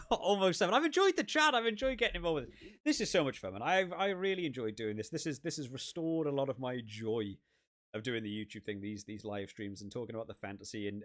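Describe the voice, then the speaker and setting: A man from the UK hosting a rugby gaming live stream, chatting and rambling with lots of restarts, his voice wearing out and going hoarse. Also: on the high side, fairly bright tone. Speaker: rugby gaming channel host. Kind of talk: casual live stream chat.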